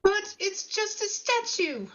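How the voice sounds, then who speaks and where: A woman's voice: very high-pitched, very animated, slow pace, fairly bright tone, lo-fi sound, a little hiss or hum, heavily acted. A woman reading the part of a cheeky, curious young female companion at a script table read, pressing with teasing questions. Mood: puzzled.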